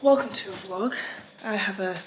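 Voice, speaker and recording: A young woman with a husky, raspy voice from tonsillitis, chatting upbeat to her vlog viewers with lots of ums. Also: medium pitch, normal speed, a little hiss or hum. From England. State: recovering from tonsillitis. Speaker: vlogger. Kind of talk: casual vlog chat. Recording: echoey room.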